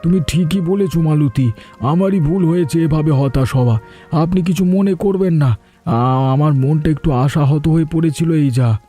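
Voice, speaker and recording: A man's voice: a little high, normal speed, warm tone, soft background music, close to an everyday voice. A man playing a hospitable, struggling middle-aged village shopkeeper in a cartoon. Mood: hopeful, downcast. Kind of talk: cartoon dialogue dub.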